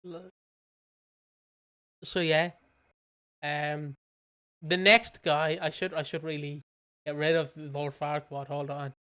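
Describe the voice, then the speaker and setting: A man's voice: a little high, flat delivery, slow, long pauses, sharp tone, smooth. A British man co-hosting a podcast in casual chat, giggly and teasing, sometimes reading out notes. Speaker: podcast co-host.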